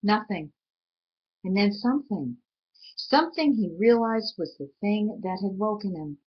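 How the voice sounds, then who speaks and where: Slow, husky woman reading a story aloud. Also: mid-range voice, lively intonation. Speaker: reader. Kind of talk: storybook read aloud, expressive scripted narration. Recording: dull lo-fi recording.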